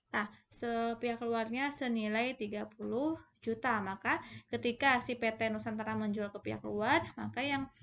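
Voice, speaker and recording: High-voiced woman tutor with creaky edge. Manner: explanatory, with rhetorical questions and conversational tags. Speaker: accounting tutor presenting a video lesson. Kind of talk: informal step-by-step tutorial explanation.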